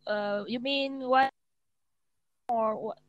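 A woman's voice: on the high side, steady tone, slow, dry recording, dull audio. A nineteen-year-old woman from Vietnam with a Vietnamese accent, speaking into an earphone mic in a small room as an English student, hesitant and searching for words. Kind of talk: casual video-call lesson. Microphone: wired earphone mic.

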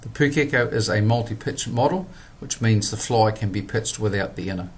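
Low-voiced New man explaining gear. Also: slightly rough. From New Zealand. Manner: measured, plain instructional delivery. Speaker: product presenter. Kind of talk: scripted product voice-over.

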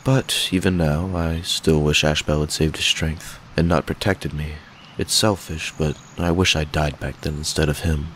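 A man's voice: extremely low pitch, fairly even intonation, normal speed, fairly bright tone, a little hiss or hum. A man voicing a stoic, guarded knight with a secretly tender side, speaking formally and with reserve in a dramatic cartoon comic dub. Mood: somber, regretful.

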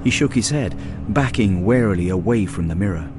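A man narrating a fantasy audiobook in a measured, grave, dramatic storytelling style. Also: fairly low pitch, expressive. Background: music.

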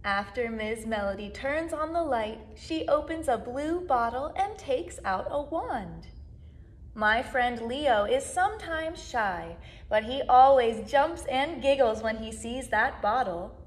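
A young woman reads a picture book aloud for small children. Her voice is high and swings widely in pitch. She goes slowly, drawing out words in a sing-song educator's style. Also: lively intonation. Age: 20s. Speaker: children's music class educator. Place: small plain indoor room, seated.

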